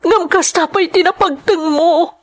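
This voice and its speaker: Actress as high-voiced, sorrowful young Ilocano wife. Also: female voice, measured pace. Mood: tearful pleading. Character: young wife and mother burdened by a bedridden husband. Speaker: drama lead and narrator. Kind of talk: Ilocano radio-style melodrama acting.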